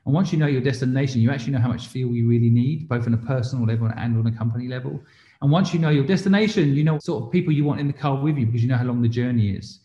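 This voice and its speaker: A man's voice: medium pitch, mellow. Man explaining ideas in a roomy, dull recording. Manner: explanatory, uses rhetorical questions and tag questions. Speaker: interview guest, entrepreneur and investor. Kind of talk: conversational interview, giving business advice. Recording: roomy echo, slightly dull sound.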